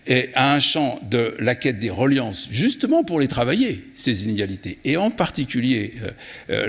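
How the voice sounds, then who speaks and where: A man's voice: medium pitch, gravelly, slightly rough. A man gives an expository, argumentative conference talk, searching for words, repeating them and stressing his lists. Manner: hesitant searching delivery with repeated words and emphatic enumerations. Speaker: guest speaker, geographer and consultant. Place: large indoor conference room. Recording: echoey hall with long reverb.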